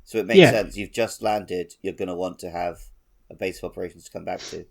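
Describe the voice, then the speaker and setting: A man's voice: deep voice, fairly even intonation, fairly quick, gravelly. A man co-hosting a podcast, talking casually about a video game and often correcting himself as he goes. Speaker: podcast co-host.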